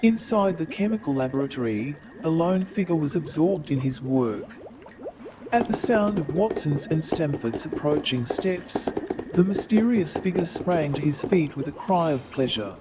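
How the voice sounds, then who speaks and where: A man's voice: a little high, animated, moderate pace, highs cut off, close to an everyday voice. A man playing the narrator of a Victorian detective tale in a staged radio play, with formal, descriptive storytelling. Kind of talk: scripted narration. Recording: very noisy, loud crowd, clicks.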